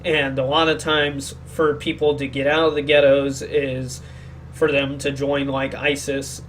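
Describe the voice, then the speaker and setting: A man's voice: mid-range voice, little pitch movement, unhurried, fairly bright tone, slight background noise, rough recording. A sick man co-hosting a podcast, rambling through a casual opinionated discussion and often searching for words. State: sick, speaking more quietly than usual. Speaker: podcast co-host.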